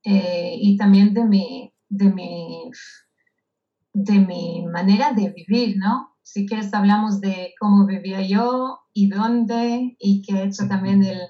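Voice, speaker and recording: A woman from Israel, a guest in a casual interview, speaking slowly and thoughtfully with many hesitations. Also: medium pitch, steady tone. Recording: dull lo-fi audio.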